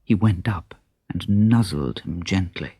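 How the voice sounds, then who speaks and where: A man narrating a children's story in an expressive, unhurried, dramatic way with a husky voice. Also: low-pitched, dry recording, clean studio sound. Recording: children's story cassette with music. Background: music.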